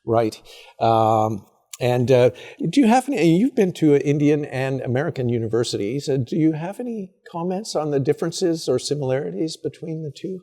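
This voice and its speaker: A man's voice: on the high side, expressive, moderate pace, dark tone. A man asking questions in an oral history interview, polite and hesitant, with many fillers and restarts.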